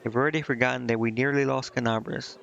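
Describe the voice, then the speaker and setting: A man's voice: medium pitch, steady tone, quick pace, vocal fry, fairly bright tone. A man casually commenting on a video game he is streaming, thinking aloud and reading bits of on-screen text. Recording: slightly dull lo-fi mic, game music behind.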